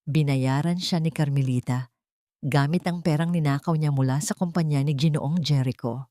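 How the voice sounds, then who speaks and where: An accusing opposing lawyer in an audio drama, read by a woman, formally addressing the judge. The voice is lowish and recorded very dry. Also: moderate pace, warm tone, dry recording, close to an everyday voice.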